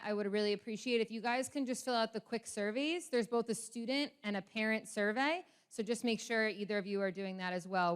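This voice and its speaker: Woman, assistant principal, crisp clear voice, medium pitch, informal presentation, on a microphone. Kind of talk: informal presentation to parents and students. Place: school meeting with an audience.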